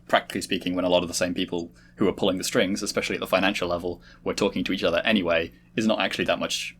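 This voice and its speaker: A man with a husky voice, talking politics casually in a group discussion. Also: low-pitched, fairly bright tone, a little hiss or hum. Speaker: panel discussion regular.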